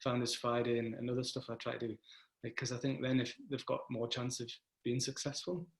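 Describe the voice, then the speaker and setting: Man, host, medium pitch, thoughtful, conversational, restarts phrases. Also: level tone, a little fast, gravelly. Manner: thoughtful, frequent restarts, tags with "right?". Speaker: host, event organizer. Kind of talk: casual conversation.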